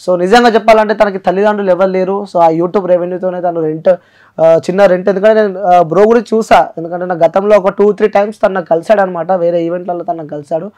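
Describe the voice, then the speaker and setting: A man's voice: high voice, steady tone, fast, mellow. A man with a Telangana accent hosting an interview, explaining emphatically and repetitively with English words mixed in. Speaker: interview host.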